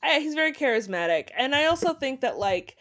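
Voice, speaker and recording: Woman podcast co-host, slightly high voice, loose and chatty with asides. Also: sing-song, fairly quick, a bit bright. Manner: chatty, frequent fillers and asides. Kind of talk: casual chat.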